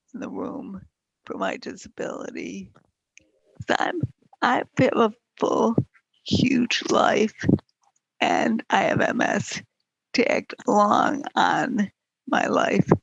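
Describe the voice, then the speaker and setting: A woman speaking frankly in a group conversation in a weakening, often whispered voice, halting and breathy with long pauses. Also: medium pitch, dry recording, dull audio. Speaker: host of a conversation series.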